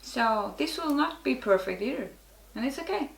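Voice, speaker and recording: A woman's voice: high voice, expressive, normal speed, gravelly. Norwegian woman with an echoey sound. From Norway. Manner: frank, restarts often, laughs at herself. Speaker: guest, network marketer. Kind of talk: casual interview chat.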